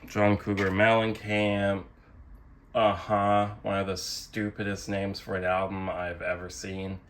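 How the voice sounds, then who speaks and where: Low-voiced, slow young man, sardonic and rambling. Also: little pitch movement, a bit bright, slight background noise. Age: young adult. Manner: self-deprecating, sardonic, swears casually. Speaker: host of a physical media collecting channel. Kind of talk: casual rambling show-and-tell commentary.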